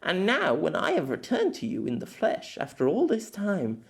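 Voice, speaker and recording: Man voicing a haughty young sorceress, pitched high. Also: wildly swooping pitch, normal speed, creaky, heavily acted. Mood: reasoning, gentle. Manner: haughty, grandly formal. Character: young regal woman, proud, theatrical, teasing sorceress.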